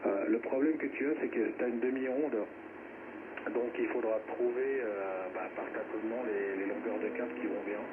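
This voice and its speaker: A man talking technically over amateur radio, explaining in a halting, searching way. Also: on the low side, fairly even intonation. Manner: hesitant, explanatory, spelling out in phonetic alphabet. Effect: radio transmission. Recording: tinny band-limited shortwave radio, loud static.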